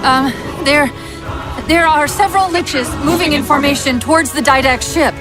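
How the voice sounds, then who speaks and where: A woman acts out a female artificial intelligence from a video game, American and fairly high in voice. She is wry and urgent, a little frayed at the edges. Loud cinematic music plays behind her. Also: moderate pace, natural voice.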